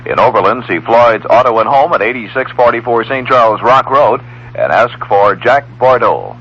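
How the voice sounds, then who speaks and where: A man's voice: mid-range voice, animated, moderate pace, fairly bright tone, slightly rough. An American man reading local commercials as a 1960s radio station announcer. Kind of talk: scripted 1960s radio ad read. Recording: muffled vintage radio recording.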